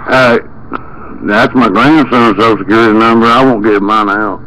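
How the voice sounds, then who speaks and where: A man's voice: on the low side, moderate pace. A man making a prank phone call, complaining in a folksy, rambling, repetitive way with frequent exclamations. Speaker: prank caller. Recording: noisy phone prank recording, steady background noise.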